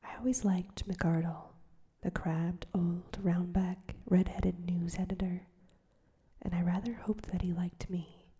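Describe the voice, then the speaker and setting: A woman narrating a story aloud in a soft, steady whisper. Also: on the low side, unhurried. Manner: soft, breathy whisper throughout. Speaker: audiobook narrator.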